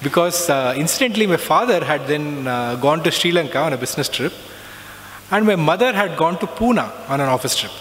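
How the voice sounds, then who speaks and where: A man's voice: a little high, expressive, brisk, fairly bright tone. Man recounting a memory in a hall. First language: not Hindi. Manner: reflective storytelling, frequent uh and so. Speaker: alumnus giving a talk. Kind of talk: personal spiritual talk. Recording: long reverb, faint background noise.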